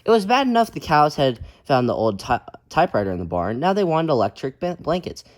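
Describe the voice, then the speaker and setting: Animated young-reader storyteller, male, lively pitch. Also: brisk. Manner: encouraging, addressing listeners as friends. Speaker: children's read-aloud host. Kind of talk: expressive picture-book read-aloud.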